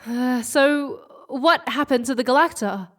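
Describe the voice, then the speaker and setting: A woman playing an earnest, shaken space explorer in a sci-fi horror audio drama, halting and emotional. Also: high-pitched. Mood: worried.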